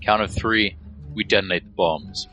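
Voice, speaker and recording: An actor playing a calm, ruthless squad leader with a clipped, commanding manner in an action audio drama. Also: male voice, fairly low pitch, normal speed, muffled recording, soft background music. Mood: steely command.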